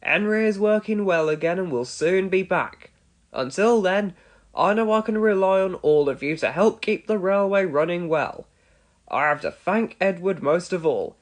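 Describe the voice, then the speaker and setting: A man as a kindly, animated railway boss. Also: high-pitched, moderate pace. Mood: pleased, praising.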